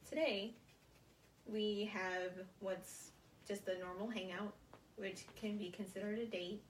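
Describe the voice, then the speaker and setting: A young American woman giving a prepared informative speech as a student, speaking haltingly in short phrases, recorded at a distance in a room. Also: mid-range voice, fairly even intonation. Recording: roomy, distant mic, faint noise.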